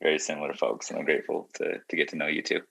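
A man's voice: rumbling low, little pitch movement, rapid-fire, vocal fry, bright, forceful tone, husky. A young man speaking as a podcast guest, reflective and casual, feeling his way through his thoughts. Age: young adult.

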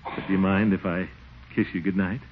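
An actor playing a fond, blustery middle-aged uncle, hesitant and theatrical, in a 1940s radio comedy. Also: male voice, low voice, steady tone, fairly quick, close to an everyday voice. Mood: reflective, affectionate. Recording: noisy muffled 1940s radio broadcast.

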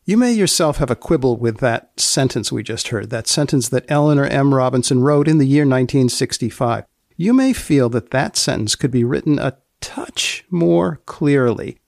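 A man presents a podcast in a mock-serious, deadpan manner. His mid-pitched voice has some fry in it, and he is recorded very dry. He phrases things wordily, mixing scripted commentary with wry banter. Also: moderate pace, no echo. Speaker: podcast host.

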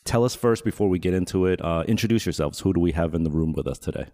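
A man's voice: sits low, quick pace, dark tone, no echo. A man hosting an interview podcast in a studio, reading a scripted introduction and then chatting with his guests. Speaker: podcast host. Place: New York studio.

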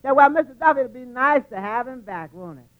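A man's voice: extremely high pitch, lively intonation, fast talker. A man playing a long-suffering, wisecracking tavern waiter in 1940s radio comedy, with dry quips and stammered repeats. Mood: amused, chatty. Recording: muffled 1940s radio broadcast recording.

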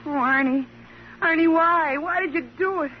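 High-pitched, desperate, possessive woman. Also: normal speed, slight background noise. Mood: pleading. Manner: pleading, intense, melodramatic. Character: jealous, possessive, desperate woman turned murderess. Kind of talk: 1950s radio drama acting. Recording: muffled old radio recording.

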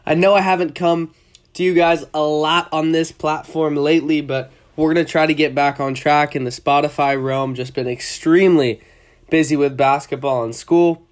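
A congested young man with a cold talks sports as a podcast host, his voice sitting a little high for a man. He rambles casually, trails off, and restarts his sentences. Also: fairly even intonation, moderate pace. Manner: loose, rambling, self-correcting.

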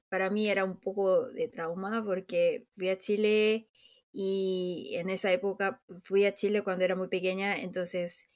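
A middle-aged woman from Korea with a Korean accent, co-hosting a chat podcast in a reflective way, often restarting and searching for words. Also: mid-range voice. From South Korea. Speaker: podcast co-host. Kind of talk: casual chat.

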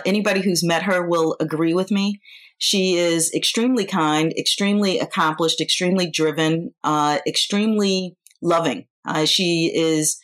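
An American woman in her fifties, originally from New York, speaks in a fairly low voice. She is an interview guest answering in a casual, conversational style, feeling out her phrasing as she goes. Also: fairly bright tone. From New York, United States. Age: 50s.

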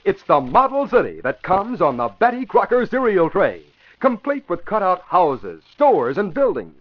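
A man's voice: high-pitched, huge pitch swings, moderate pace. An American man announcing and narrating in 1950s radio style, with polished, emphatic salesmanship. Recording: dull vintage radio broadcast.

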